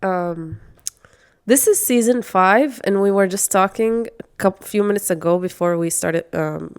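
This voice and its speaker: A woman's voice: medium pitch, a little slow, smooth. A young woman whose first language is Arabic, co-hosting a podcast in a chatty, enthusiastic way. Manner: chatty, enthusiastic, many fillers and restarts. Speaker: podcast co-host. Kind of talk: casual chat.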